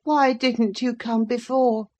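A frail, querulous teenage boy who whines at every discomfort, as a woman reads him in an audiobook. His voice sits high and thin with a fretful edge. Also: fairly even intonation, slow, darkish tone. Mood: peevish. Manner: whining, querulous. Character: sickly peevish teenage boy invalid.

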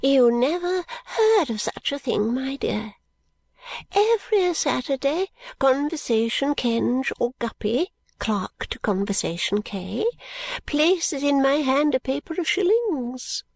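A woman voicing a nervous, genteel, eccentric elderly lady who speaks slowly and stately and drops into whispers. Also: lively intonation, creaky, a little mellow, no echo, full character voice. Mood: fluttery. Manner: high, slow, stately and confiding, drops to whispers.

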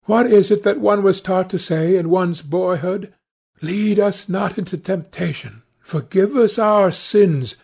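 An anguished, devout painter, acted by a male audiobook reader speaks in a raised, husky voice. He is agitated and imploring, moralising with theatrical horror. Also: high-pitched, unhurried, darkish tone, pitched above the performer's own voice, full character voice. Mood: anguished pleading. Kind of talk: dramatic audiobook character voice.

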